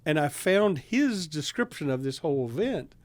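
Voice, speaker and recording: A man's voice: a little high, sing-song, moderate pace, darkish tone, no echo. A man talking casually in a studio conversation, as a writer and public broadcaster introducing a radio drama.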